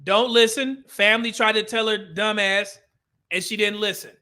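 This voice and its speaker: A man's voice: sits high, steady tone, normal speed, bright, forceful tone. A male commentary host ranting over clips, blunt and profane, with a preachy edge.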